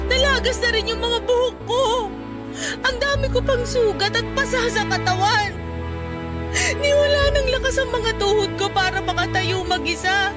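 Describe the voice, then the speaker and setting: A very high, thin voice of a sick young woman sinking into despair. She speaks in a weary, tearful, complaining way, as in a radio drama. Music runs under her lines. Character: young woman gravely ill, despairing and bitter.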